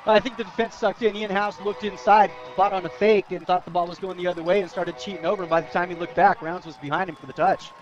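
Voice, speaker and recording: A quick-talking American man gives color commentary at a football game. His voice sits high, and he explains plays conversationally over a dull, lo-fi radio broadcast. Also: steady tone, faint music behind. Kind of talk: live football analysis.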